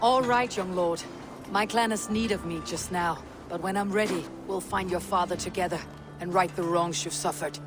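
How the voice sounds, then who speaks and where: A woman playing a stern, confident Viking chieftain in a video game, speaking in a measured, commanding way. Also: fairly low pitch, steady tone, music in the background, close to an everyday voice. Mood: firm. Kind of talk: dramatic video game acting.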